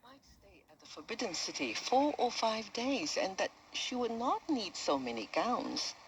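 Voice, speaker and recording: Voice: mid-range voice, sing-song, measured pace. A voice reading scripted narration, heard through a shortwave radio receiver. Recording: very noisy crackly shortwave radio reception.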